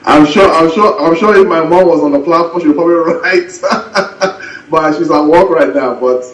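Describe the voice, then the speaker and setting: A man speaking as a pastor and guest in a casual interview chat, soft-spoken, laughing often and repeating words as he talks. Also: a little high, moderate pace. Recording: echoey and distant from mic.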